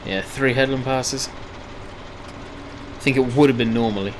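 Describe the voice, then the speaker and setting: A man with a slightly croaky voice giving rambling, chatty gameplay commentary, often correcting himself mid-sentence. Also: medium pitch, fairly even intonation, takes it slowly, background noise. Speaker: gaming video host.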